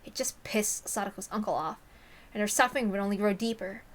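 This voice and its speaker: A woman narrating a visual novel aloud in an expressive style, with casual asides. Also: medium pitch, brisk.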